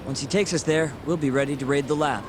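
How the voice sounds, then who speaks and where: A man's voice: a little high, fairly even intonation, quick pace, noisy background, close to an everyday voice. A man playing a young, wry, streetwise sidekick in a video game cutscene, talking casually and a little sardonically. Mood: focused. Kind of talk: English video game cutscene dub acting. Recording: music playing behind the voice.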